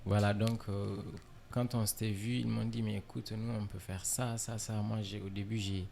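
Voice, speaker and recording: A man from Niger, a musician guest in a casual interview, relaxed and filler-heavy, dropping in English words. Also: on the low side, moderate pace, gravelly, slight background noise.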